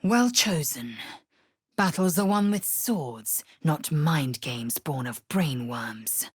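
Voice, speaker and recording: Husky fierce alien warrior woman for a video game, haughty and clipped, slow delivery w big pitch swings. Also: lively intonation, no room sound, clean studio sound, heavily acted. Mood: solemn. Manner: imperious, clipped, disdainful. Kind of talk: video game character voice lines.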